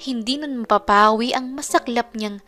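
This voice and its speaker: A woman with a fairly high voice reads a dramatic story as narrator. The delivery is scripted storytelling, set over a bed of music. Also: moderate pace, pushed and bright. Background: background music.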